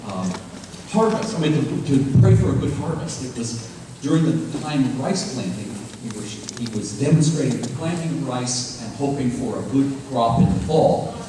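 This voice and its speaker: Echoey, distant man introducing a stage show. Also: fairly high pitch. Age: middle-aged. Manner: explanatory, addressing the audience directly with questions. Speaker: professor and puppet troupe leader introducing a show. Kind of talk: spoken stage introduction to an audience. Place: festival stage before an audience. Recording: very echoey, distant mic, faint noise.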